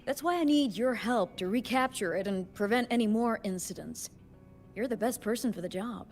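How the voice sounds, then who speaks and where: A woman's voice: on the high side, normal speed, darkish tone, natural voice. An actress playing a confident, businesslike female colleague in scripted anime-style video game dialogue. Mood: businesslike, explanatory. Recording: faint game music behind voice. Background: faint game music.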